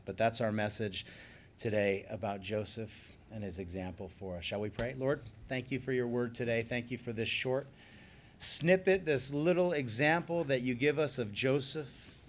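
A man preaching a sermon, earnest and emphatic, slowing down on key phrases and then leading a closing prayer. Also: medium pitch, a little hiss or hum. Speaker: pastor.

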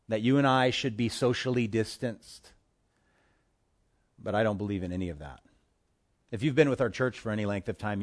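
A man preaching a sermon as pastor of his church, impassioned, swinging between hushed asides and forceful declarations. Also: mid-range voice. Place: church in Hawaii.

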